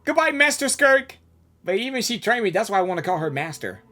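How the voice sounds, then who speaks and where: A woman's voice: medium pitch, very animated, fairly quick, pushed and bright. A woman game streamer giving casual, informal reaction commentary and talking back to the characters.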